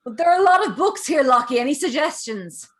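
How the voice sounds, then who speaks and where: A woman playing a calm, sceptical character in a drama table read, questioning and unhurried. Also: sits high. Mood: curious.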